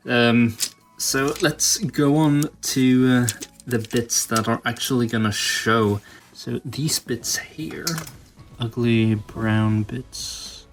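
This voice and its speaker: A man giving casual, hands-on tutorial commentary as a video host, musing aloud in halting phrases. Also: mid-range voice, fairly even intonation, faint music behind. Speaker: toy customizing video host.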